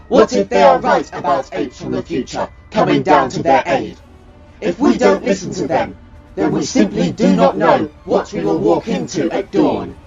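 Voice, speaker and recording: An actor playing a pragmatic, commanding reptile military leader in an audio drama, speaking in formal, measured declarations. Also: male voice, fairly high pitch, creaky. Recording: muffled lo-fi, loud music behind.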